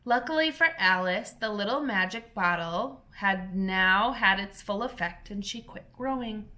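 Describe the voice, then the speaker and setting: A woman, a teacher, reading a children's storybook aloud in a gentle, clear storytelling manner. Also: mid-range voice, unhurried.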